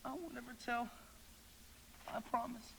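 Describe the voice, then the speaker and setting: A man's voice: very high voice, measured pace, vocal fry, pushed and bright, soft background music, heavily acted, poor audio. An actor playing a frightened, good-hearted young boy who stammers and pleads in a live radio play. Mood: frightened, pleading.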